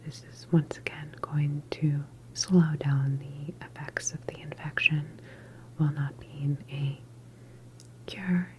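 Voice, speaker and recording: A woman whispering softly in an ASMR medical roleplay as a calm, reassuring doctor, explaining each step politely in a breathy voice. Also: low voice, a little hiss or hum, poor audio. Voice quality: breathy, mostly unvoiced whisper. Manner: soft, unhurried, gently explanatory, polite. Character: calm, reassuring female doctor at a sci-fi government facility. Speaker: ASMR performer playing a medical examiner.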